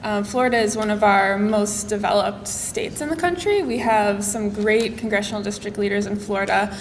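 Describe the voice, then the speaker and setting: A woman organizer speaking on a conference panel. She talks in an upbeat, halting way with frequent ums. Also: medium pitch. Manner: hesitant, many "um" fillers, upbeat. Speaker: advocacy staff organizer for the Midwest region. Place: conference hall. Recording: reverberant hall with faint noise.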